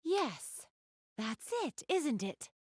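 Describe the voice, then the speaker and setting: A woman's voice: a little high, sing-song, very slow, slightly rough, dry recording, clean studio sound, exaggerated voice. A woman playing an elegant, polite young goddess in a video game, speaking to the listener in formal, ladylike phrases. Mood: earnest, thoughtful. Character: elegant, refined young goddess with gamer enthusiasm. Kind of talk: video game character dialogue, addressing the listener.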